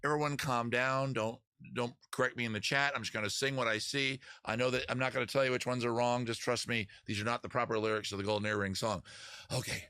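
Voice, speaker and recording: A man's voice: mid-range voice, steady tone, fast talker, bright, forceful tone, clear, resonant tone, no echo, studio quality. A man hosting a live-streamed beer-and-songs show, rambling and joking about himself between songs, talking to the chat from under a small outdoor rain tent. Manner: rambling, self-mocking, chatty with the chat. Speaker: host, singer-guitarist. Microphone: microphone on a live-stream setup. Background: guitar.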